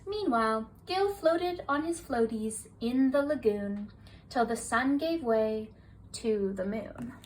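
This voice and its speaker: High-voiced woman reading bedtime rhymes. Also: expressive, slow pace. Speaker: storybook reader. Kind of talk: bedtime story read-aloud. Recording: distant mic, faint hiss.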